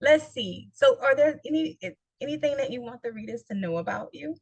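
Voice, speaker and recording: A woman with a New Orleans accent hosting a casual interview, animated and playful, laughing often and using slang. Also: high voice, brisk, husky, no echo. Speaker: host.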